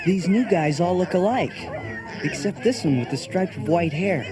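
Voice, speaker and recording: A man playing an earnest, kind young man with a boyish, expressive delivery in a children's read-along audio drama. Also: high voice, normal speed, crowd noise. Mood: warm. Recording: cassette transfer with music behind. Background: music.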